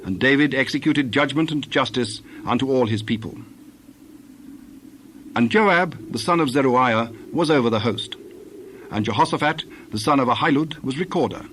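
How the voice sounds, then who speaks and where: A man narrating scripture from a script in a measured, formal way. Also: medium pitch, fairly bright tone. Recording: clear background noise with birdsong.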